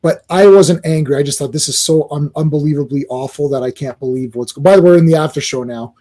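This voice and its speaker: Guy co-hosting a chatty podcast, kinda high mellow voice, rambles and restarts a lot. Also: fast. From Croatian family, born locally. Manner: animated, rambling, many restarts. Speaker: podcast co-host. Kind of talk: casual chat.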